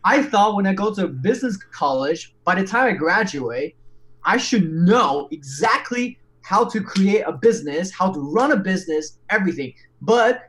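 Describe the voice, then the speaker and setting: A man, an English speaker, telling his story as a podcast guest in an animated, candid way with frequent restarts and casual swearing. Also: high voice, moderate pace, a little hiss or hum, rough recording. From Taiwan.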